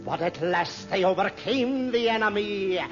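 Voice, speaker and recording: A solemn desert storyteller, tells his tale in a high, slow, chanting delivery. He declaims gravely in the manner of vintage radio drama, with music behind him on a dull old recording. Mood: fierce, proud.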